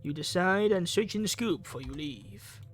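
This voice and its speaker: A man's voice: sits high, expressive, moderate pace, faint music behind. A man reading a visual novel aloud for a gaming video in a dramatic, theatrical way. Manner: theatrical, acts out the dialogue.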